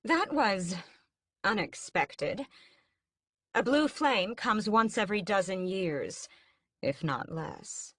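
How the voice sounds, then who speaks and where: A woman's voice: medium pitch, lively intonation, slow pace, pauses often, a bit bright, no room sound, clean studio sound, pitched above the performer's own voice. A woman voicing a haughty, scheming vampire queen mother in an audiobook, with a cool, mocking drawl. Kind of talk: audiobook character voice.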